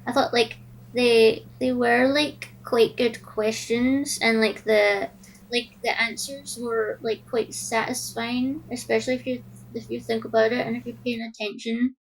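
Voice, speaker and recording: A woman's voice: on the high side, little pitch movement, unhurried, creaky, a little hiss or hum, poor audio. A woman co-hosting a podcast, chatting about films in a casual, hesitant way. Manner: hesitant, frequent "like". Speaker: podcast co-host. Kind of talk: casual film discussion.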